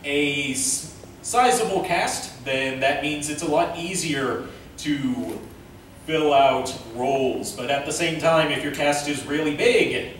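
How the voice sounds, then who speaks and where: A man presenting an informal convention panel, speaking haltingly as he thinks aloud. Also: mid-range voice, fairly bright tone. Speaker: panel presenter, amateur director and voice actor. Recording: echoey room from far off, faint noise.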